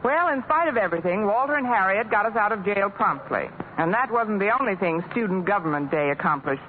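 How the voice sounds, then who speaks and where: A woman's voice: mid-range voice, lively intonation, a little fast, close to an everyday voice. A woman playing a wisecracking schoolteacher. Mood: narrating. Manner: sardonic quips. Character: wisecracking dry-witted female schoolteacher. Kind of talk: 1950s radio comedy acting. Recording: tinny old radio broadcast, hissy.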